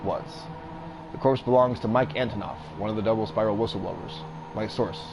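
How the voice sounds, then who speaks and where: A man with a fairly deep voice reads a text adventure aloud and breaks off for offhand comments on the writing. His delivery is casual, with some swearing. A clear hiss of background noise runs under the recording. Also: fairly quick. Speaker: streamer playing a text adventure game. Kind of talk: reading game text aloud with asides.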